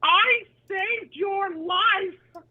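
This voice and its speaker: A woman voicing an earnest, aggrieved half-giant man with squeaky, drawn-out delivery in an amateur dramatic reading. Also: phone-quality sound, exaggerated voice.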